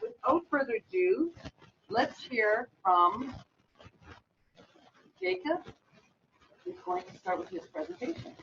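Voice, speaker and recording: A woman's voice: sits high, very animated, takes it slowly, pauses often, a bit bright. A woman hosting a webinar over a video call, reading introductions and house rules with some fillers. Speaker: webinar moderator. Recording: distant dull video call audio with noise.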